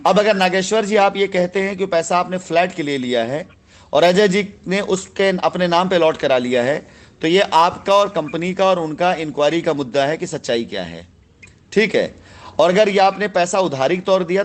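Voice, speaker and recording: A man's voice: a little high, moderate pace. A man speaking with authority as a company boss mediating a dispute on a recorded phone call, often cutting in and repeating himself.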